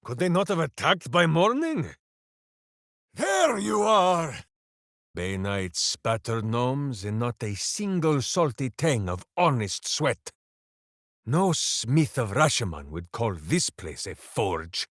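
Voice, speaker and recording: A boisterous, simple-minded video game ranger with a hero's earnest heart. He declaims theatrically at a slow, weighty pace, and his pitch leaps and plunges dramatically. The male voice is captured very dry and close, with no room around it. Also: very animated, fairly bright tone, no echo, heavily acted. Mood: battle-roaring. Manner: grandiose third-person declamation, earnest and theatrical. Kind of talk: video game character voice lines.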